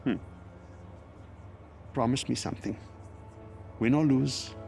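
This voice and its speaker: A devoted middle-aged dad in a video game, tender and lightly teasing. His medium-pitched voice is creaky and gravelly, with faint music behind it. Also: fairly even intonation, slow pace, a little mellow. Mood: warm, earnest.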